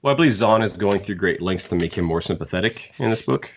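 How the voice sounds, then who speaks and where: A man with a very low voice chats as a podcast panelist. He thinks aloud haltingly, doubling back on words. The audio is a bit dull. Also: lively intonation. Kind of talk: casual discussion.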